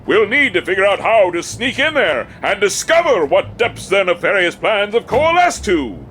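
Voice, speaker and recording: An actor playing a pompous, self-styled superhero detective in a comedy radio ad, speaking in a grandiose, theatrical way. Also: male voice, high voice, big pitch swings, normal speed, fairly bright tone, faint music behind.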